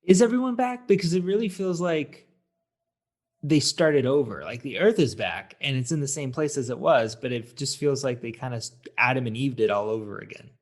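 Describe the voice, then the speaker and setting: A man's voice: mid-range voice, lively intonation, moderate pace. A man co-hosting a movie review podcast, talking in a casual, opinionated way. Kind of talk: casual film discussion.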